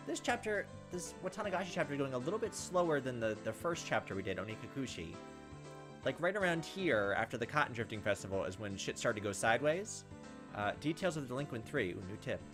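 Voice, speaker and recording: A man's voice: medium pitch, big pitch swings, brisk, music in the background. A man hosting a gaming video, reading a visual novel aloud with casual, sometimes profane commentary. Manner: casual, profane asides, reacts to the text as he reads. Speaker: gaming video host, let's player.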